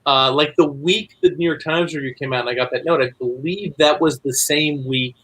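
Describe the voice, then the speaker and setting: A man's voice: a little high, moderate pace, a bit bright, husky, low-quality recording. A man speaking as a writer guest in casual interview chat, with a wry, joking manner.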